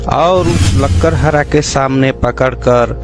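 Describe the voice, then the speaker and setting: Slow-paced male storyteller over music. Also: mid-range voice. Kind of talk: scripted children's moral story narration. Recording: clear music bed behind narration.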